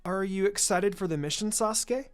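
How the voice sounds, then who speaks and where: Guy reading as a polite gentle teen ninja girl, careful soft spoken, story. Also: sits high, normal speed, smooth, faint music behind, natural voice. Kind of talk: storybook-style character reading.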